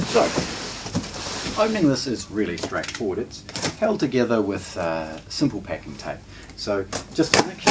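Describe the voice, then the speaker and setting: Low-voiced man presenting, noisy distant mic. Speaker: presenter of a shop's unboxing channel. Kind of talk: unscripted product unboxing presentation.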